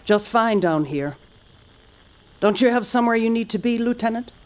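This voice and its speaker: An actress playing a stoic, resigned mission commander in an audio drama, clipped, restrained and matter-of-fact, with a husky voice. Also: female voice, fairly high pitch, lively intonation, normal speed, a little mellow, lightly acted. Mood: composed, firm.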